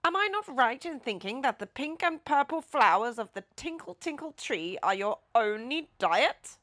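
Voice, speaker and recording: Woman putting on a loud, pompous, bright-voiced Duke. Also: sits high, big pitch swings, normal speed, voice pushed up. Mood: knowing, impatient. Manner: pompous, declamatory. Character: grand, emphatic, know-it-all, generous old-fashioned male Duke.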